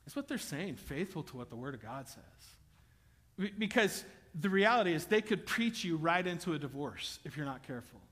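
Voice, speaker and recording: Bright-voiced pastor in an echoing hall. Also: male voice, fairly high pitch, big pitch swings, brisk. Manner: conversational, joking with the congregation, rising to loud emphatic bursts. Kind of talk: sermon. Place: church auditorium.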